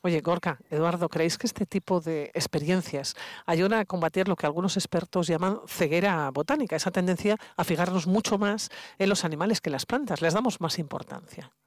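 A man hosting an interview, asking his guests quick conversational questions. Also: high voice, no room sound. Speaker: radio host.

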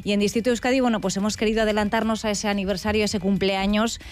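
A woman's voice: medium pitch, little pitch movement, rapid-fire, darkish tone. A woman co-hosting a radio show, presenting a segment in an upbeat, informative way. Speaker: radio co-host.

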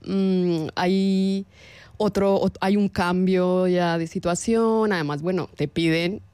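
A woman with a Colombian accent, speaking as a guest in a radio interview and talking thoughtfully, with pauses and fillers. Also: on the low side, steady tone, dark tone, clean tone, dry recording. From Latin America.

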